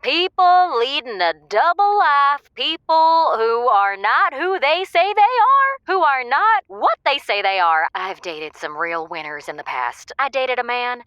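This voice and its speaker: A woman playing an excitable, dramatic radio caller obsessed with secretive lovers, in a comedic audio drama. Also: sits high, unhurried, hardly any pauses, no echo, highs cut off. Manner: gushing, dramatic, emphatic delivery.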